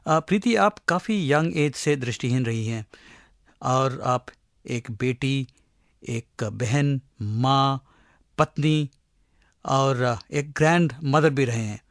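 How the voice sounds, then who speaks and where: A man with an Indian accent asking interview questions politely, in a halting conversational manner. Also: mid-range voice, no room sound, clean studio sound.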